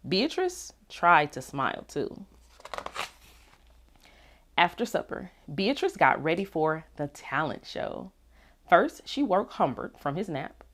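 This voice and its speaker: A woman reading a children's storybook aloud in an expressive, animated way with dramatic pauses. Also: fairly low pitch. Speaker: read-aloud narrator.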